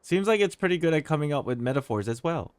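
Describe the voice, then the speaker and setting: A woman's voice: low voice, expressive, quick pace, heavy background noise. A woman giving casual let's play commentary as a game streamer, musing aloud to herself.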